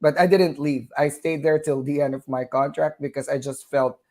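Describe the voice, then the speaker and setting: A man's voice: a little high, steady tone, moderate pace, darkish tone. A nurse from the Philippines with a Southern US twang, a man talking chattily as an interview guest, with frequent fillers and laughs between phrases. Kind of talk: conversational interview.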